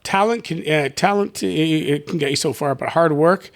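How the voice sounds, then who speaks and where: Halting middle-aged man, fairly high voice. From Canada. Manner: halting, many fillers and restarts. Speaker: guest, basketball coach. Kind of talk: casual interview chat.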